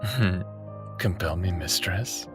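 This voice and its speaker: A man's voice: low voice, takes it slowly. A man playing a defiant, sardonic human rebel in his late thirties in an audio drama. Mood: teasing. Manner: sardonic, teasing. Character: defiant, smartass thirty-eight-year-old human male rebel. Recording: music behind dramatic dialogue.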